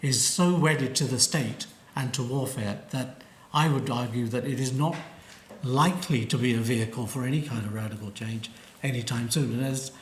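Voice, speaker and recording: A man's voice: medium pitch, normal speed, a little hiss or hum. A man giving an academic panel talk, arguing his points and trailing off with "and so on". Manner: argumentative, hedging with "and so on". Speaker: panel speaker. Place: lecture hall. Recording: echoey lecture hall.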